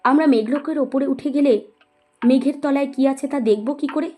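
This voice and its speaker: A woman's voice: high voice, warm tone, soft background music, voice pushed up, lightly acted. A woman acting a resolute, principled young woman in a Bengali audio story, speaking firmly and earnestly. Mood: questioning. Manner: firm, earnest, measured.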